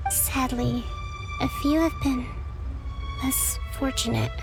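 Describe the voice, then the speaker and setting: High-voiced earnest military leader. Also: female voice, fairly even intonation, music in the background. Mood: grave, decisive. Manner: formal, measured, serious. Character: young female military leader, earnest, composed and caring. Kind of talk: video game cutscene voice acting.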